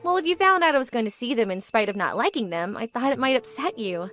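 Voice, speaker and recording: Woman acting a lively, high-pitched, composed young businesswoman. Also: fast. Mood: playful, cheerful. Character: composed, forward young businesswoman, the hero's ex-girlfriend. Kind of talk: manga dub dialogue. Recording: background music under the voice.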